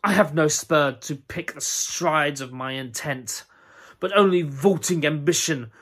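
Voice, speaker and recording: A young man playing a guilt-torn Scottish nobleman, speaking Shakespearean verse in a measured, brooding way with a husky voice. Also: fairly high pitch, a bit bright. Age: young adult. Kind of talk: Shakespeare audition monologue.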